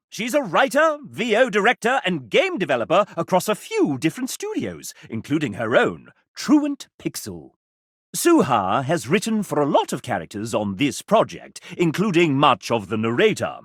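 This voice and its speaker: Casting: flamboyant game-show gentleman for a video game, sardonic and pompous, highish pitch, hugely swooping delivery. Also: measured pace, dry recording, clean studio sound, exaggerated voice. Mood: playful announcing. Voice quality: animated theatrical delivery. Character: sassy, flamboyant gentleman host, pompous and playful. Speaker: game show style host and narrator. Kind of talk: theatrical video game narration.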